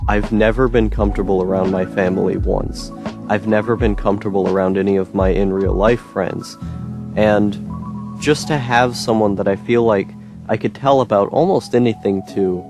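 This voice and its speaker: A man's voice: on the low side, moderate pace, music in the background. Droning young man venting in monologue. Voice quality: droning monotone. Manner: rambling, frustrated, many ums and restarts, swearing. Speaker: YouTuber. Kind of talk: personal monologue.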